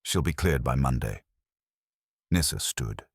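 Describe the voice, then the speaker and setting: Very deep-voiced man narrating detective fiction. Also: lively intonation, measured pace, breathy edge, no room sound, studio quality. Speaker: audiobook narrator. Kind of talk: scripted first-person detective story narration.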